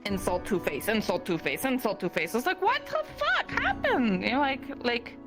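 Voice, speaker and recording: A woman's voice: mid-range voice, expressive, moderate pace, music in the background, close to an everyday voice. A woman roleplaying a chatty, silly young fantasy adventurer, talking in a casual, slangy, rambling way. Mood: uneasy, earnest. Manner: rambling, giggly, modern slangy speech. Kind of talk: improvised in-character roleplay, casual chat.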